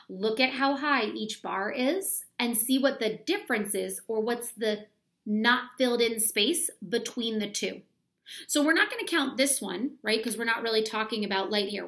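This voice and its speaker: A woman in her thirties reads an instructional book aloud for young children. Her voice sits fairly high and has a clear creak to it. She explains each point step by step, often asking the listeners questions as a schoolteacher would. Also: normal speed, fairly bright tone. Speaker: elementary school teacher reading aloud. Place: small room at home, indoors.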